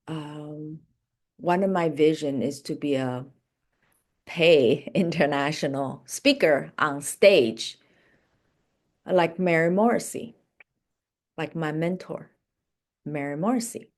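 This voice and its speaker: Low-pitched woman coach with unhurried delivery. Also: darkish tone. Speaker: life and business coach. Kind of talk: motivational coaching session.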